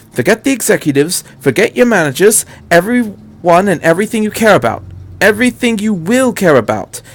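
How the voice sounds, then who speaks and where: A man voicing an urgent, fast-talking doctor who bluffs with authority, persuasive and emphatic, in a fan fiction audiobook. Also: fairly high pitch, no room sound, pitched above the performer's own voice.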